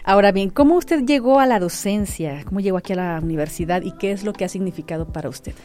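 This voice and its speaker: A woman's voice: mid-range voice, quick pace, a little mellow. A woman with a Mexican accent hosting a radio interview in a formal, courteous, ceremonious way. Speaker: radio programme host.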